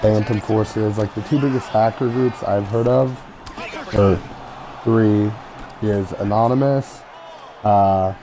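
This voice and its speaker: A man's voice: fairly low pitch, steady tone, slow pace, crowd noise. A man with a nasal, stuffed-up voice from a cold, rambling casually and profanely as he comments over a video game. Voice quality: nasal, congested. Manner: profane, informal, hesitant rambling. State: has a cold. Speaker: gaming video creator.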